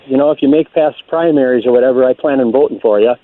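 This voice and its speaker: A man with an American accent, a caller to a radio show, asking a question in a halting, concerned manner. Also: medium pitch, no room sound. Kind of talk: unscripted call-in question. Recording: tinny phone line on radio.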